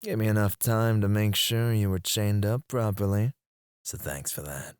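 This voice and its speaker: A man playing a menacing, teasing kidnapper in an ASMR roleplay, drawling his taunts and dropping into hushed murmurs close to the microphone. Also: lowish voice, moderate pace, clear, resonant tone, no echo, close to an everyday voice. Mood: smug, mocking. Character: menacing, teasing male kidnapper interrogating a captive. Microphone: close studio microphone.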